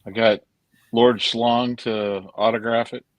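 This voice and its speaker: A retired man in his late fifties chatting easily on a whiskey livestream, telling stories in a dry, relaxed way. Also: mid-range voice, slow pace, dry recording. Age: 50s. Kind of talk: casual chat.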